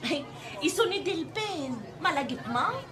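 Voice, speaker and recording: A woman's voice: very high voice, lively intonation, measured pace, over a noisy crowd, heavy background noise. A woman playing a coy, playful unmarried market vendor in an Ilocano radio comedy drama, with an Ilocano accent. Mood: giddy. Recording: music behind the voice.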